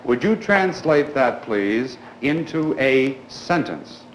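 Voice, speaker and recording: A man with a mid-pitched voice leads a school grammar class. He is polite and deliberate, directing questions and instructions to pupils. The room echoes around him and there is noticeable noise on the recording. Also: lively intonation.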